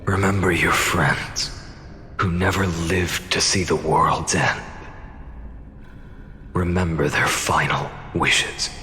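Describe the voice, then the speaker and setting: A man's voice: mid-range voice, bright, forceful tone, a little hiss or hum. A male actor playing a resolute, anguished young hero in a video game, with a grave, declamatory delivery. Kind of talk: scripted game cutscene acting. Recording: long reverb under music.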